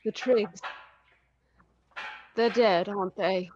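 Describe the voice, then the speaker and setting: Radio play role: woman to play a frightened, anguished wife, slow and emotive, over a faintly noisy bed of bird sounds. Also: mid-range voice, highs cut off, close to an everyday voice. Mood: bleak, fearful. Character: frightened, despairing wife trapped in a siege. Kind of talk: dramatic radio play acting.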